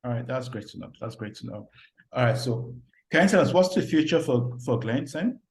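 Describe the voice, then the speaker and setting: A man hosting an interview, asking questions in a halting way, restarting and repeating phrases. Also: medium pitch, lively intonation, gravelly. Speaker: show host, interviewer. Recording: echoey room with faint background noise.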